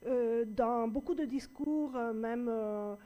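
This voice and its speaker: A woman's voice: fairly high pitch, slow pace, warm tone. A woman moderating a public panel debate, speaking with many fillers and restarts. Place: public hall with audience.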